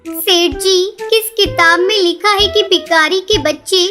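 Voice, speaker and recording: A woman's voice: very high voice, measured pace, music in the background. A woman voicing a poor, devoted mother bird in an earnest, pleading way for a children's cartoon. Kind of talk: Hindi children's cartoon voice acting.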